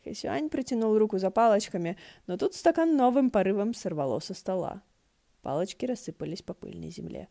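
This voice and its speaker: Женщина выразительно читает художественную прозу как рассказчица аудиокниги. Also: female voice, medium pitch, lively intonation, fairly quick, vocal fry, dark tone. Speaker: audiobook narrator. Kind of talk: scripted fiction narration.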